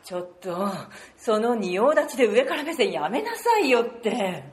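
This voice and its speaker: A woman's voice: fairly high pitch, very animated, normal speed. A woman voicing a brusque, flustered young female time-police agent in an amateur radio drama, with curt, defensive retorts. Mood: irritated.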